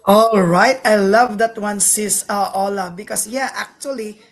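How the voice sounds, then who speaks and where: A woman's voice: mid-range voice, unhurried. A woman hosting an online business discussion, talking in an affirming, conversational way as she introduces speakers and comments. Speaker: host.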